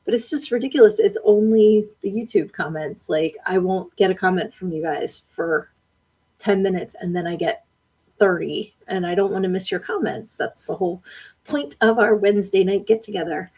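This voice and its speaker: A woman's voice: medium pitch, normal speed, dark tone, breathy edge, low-quality recording. A woman hosting a live-stream podcast, reading viewer comments aloud and chatting back with frequent laughs. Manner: chatty, laughs often, talks back to the chat. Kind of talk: casual live-stream chat.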